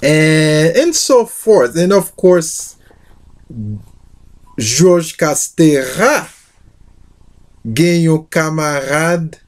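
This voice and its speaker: Man talking really slow, lots of ums, kinda high voice, intro then reads a poem. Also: lively intonation. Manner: slow, halting speech with many fillers. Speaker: literature teacher. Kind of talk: introducing and reading poetry aloud.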